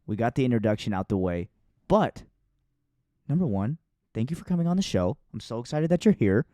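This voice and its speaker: A man hosts a laid-back interview podcast with lively, swinging intonation. He is playful and teasing, speaks informally, and sounds close and dry. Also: mid-range voice, a little fast, dry recording. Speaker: podcast host. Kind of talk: casual podcast interview chat.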